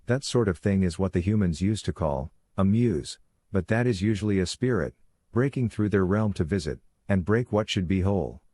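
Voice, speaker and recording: A man voicing a calm, authoritative werewolf pack leader who lectures in a measured, instructive way for a fantasy audiobook. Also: sits low, no echo, pitched below the performer's own voice. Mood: patient authoritative.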